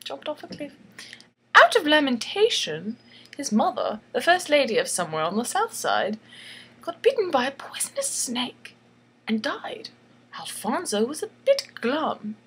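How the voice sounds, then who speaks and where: A woman's voice: high voice, huge pitch swings, measured pace, fairly bright tone, slightly rough. A woman reading a bedtime story in a theatrical, tongue-in-cheek way, giggly and chatty, repeating phrases for emphasis. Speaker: storyteller and illustrator.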